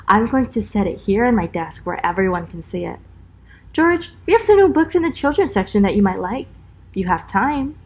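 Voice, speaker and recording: A woman's voice: mid-range voice, sing-song, brisk, a little mellow, breathy edge. A woman voicing a friendly, helpful children's librarian in a pleasant, inviting storybook manner. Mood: welcoming.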